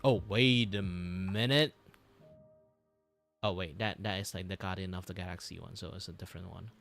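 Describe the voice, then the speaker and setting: A man who speaks English as a second language, chatting casually on a livestream in a halting, repetitive way. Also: low voice, vocal fry, soft background music. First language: not English. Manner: halting, repetitive, animated reactions. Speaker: streamer and animator.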